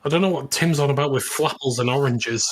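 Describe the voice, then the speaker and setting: Medium-pitched man in casual banter. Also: fast talker. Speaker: podcast co-host.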